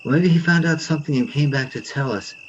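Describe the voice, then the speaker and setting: A man's voice: fairly high pitch, fast, dull audio, slight background noise, lightly acted. A man playing a suspicious, aggressive neighbor in a radio play, pointed and taunting in delivery. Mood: accusing. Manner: pointed, confrontational.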